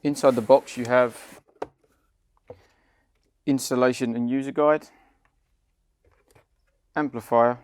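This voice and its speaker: A man's voice: mid-range voice, steady tone, slow pace, long pauses, a little mellow, clean tone, dry recording. A man giving a scripted instructional product narration, explaining plainly step by step. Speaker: company head of client development, instructor.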